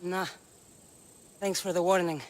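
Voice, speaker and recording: A woman's voice: on the low side, fairly even intonation, unhurried, noisy background, natural voice. A woman voicing a tough, sardonic young Greek mercenary in a video game, speaking with a Greek accent and a dry, defiant manner. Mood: wry, weary. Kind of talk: video game cinematic acting. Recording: music bed under dialogue.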